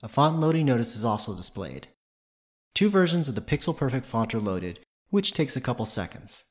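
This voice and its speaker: A man's voice: medium pitch, sing-song, brisk. A man presenting a tutorial, reading scripted technical narration in a methodical, matter-of-fact way.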